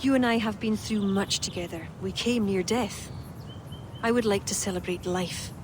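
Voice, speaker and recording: An actress playing an earnest former druid noblewoman who speaks in a soft, confiding, measured way. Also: medium pitch, lightly acted. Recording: game cutscene with clear background noise.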